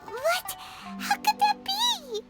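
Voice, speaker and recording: A woman voicing a small, childlike, excitable fairy companion in a game, squeaky and naive. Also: takes it slowly, loud music behind the voice, exaggerated voice. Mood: shocked. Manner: exclaiming, refers to self by name.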